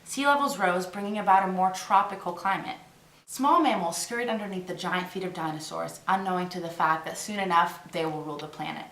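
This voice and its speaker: Woman narrator, scripted documentary read, slightly low voice, echoey room. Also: brisk, fairly bright tone. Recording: roomy echo, distant from microphone.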